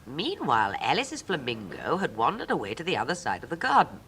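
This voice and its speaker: A woman's voice: wildly swooping pitch, fairly quick, a bit bright, heavily acted. A woman actor narrating a storybook in a poised 1950s style. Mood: measured. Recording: old 1950s record with rumbling noise.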